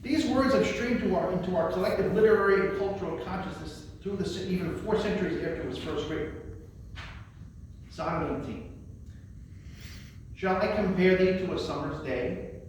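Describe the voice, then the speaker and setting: A man with a high voice presents and recites in a theatrical, declamatory way. He sounds far from the microphone, in a large room with heavy echo and long reverb. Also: normal speed, long pauses. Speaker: librarian presenting a poetry reading. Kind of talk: spoken presentation and recitation of poems. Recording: very echoey room, distant mic, faint noise.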